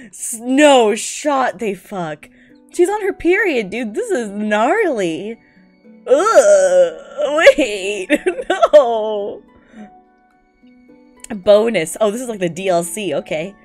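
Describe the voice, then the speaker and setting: A woman's voice: on the high side, big pitch swings, slow pace, soft background music. A woman streamer in a giggly, slangy, incredulous style, reading aloud and reacting casually.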